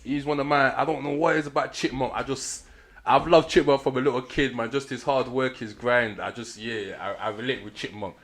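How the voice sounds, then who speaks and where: A man's voice: medium pitch, steady tone, a little fast, fairly bright tone. A young man from Nigeria who grew up in London, talking casually as a rapper guest, laid back and full of slang. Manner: laid-back slangy talk, laughs often. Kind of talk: casual chat.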